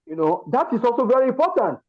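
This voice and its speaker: High-voiced Nigerian man, emphatic and earnest. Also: big pitch swings, fast, highs cut off. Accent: Nigerian Yoruba. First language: Yoruba. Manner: emphatic, repeats words and phrases. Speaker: participant in an online masterclass giving feedback. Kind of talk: earnest spoken testimony to a group.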